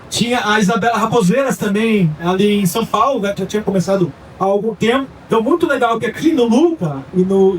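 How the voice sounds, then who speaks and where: Fast-talking man telling a story. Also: high voice, distant mic, slight background noise, poor audio. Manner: quick, chatty, full of fillers and asides. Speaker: coffee business founder giving a talk. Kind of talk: storytelling talk to a live audience.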